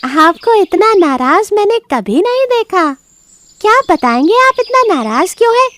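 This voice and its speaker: A woman voicing a sly, flattering fox in an animated moral story, with a wheedling sing-song delivery. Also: very high-pitched, normal speed, pitched above the performer's own voice, heavily acted. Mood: mock concern. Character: sly, flattering fox advisor to a lion king.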